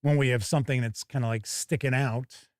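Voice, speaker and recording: A man's voice: mid-range voice, fairly even intonation, fairly quick, no echo, studio quality. A man hosting a tabletop game review stream, chatting casually and thinking aloud as he comments on an unboxing.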